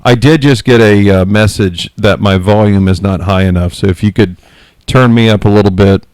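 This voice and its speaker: A man with a loud voice hosting a casual podcast, speaking frankly with many self-corrections. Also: lowish voice, moderate pace, smooth, dry recording. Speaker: podcast host.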